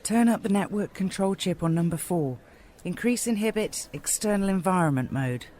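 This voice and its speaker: A woman playing a brisk, cool researcher from the far future in a sci-fi audio drama, clipped and matter-of-fact. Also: lowish voice, animated, mellow, close to an everyday voice. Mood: cool, businesslike.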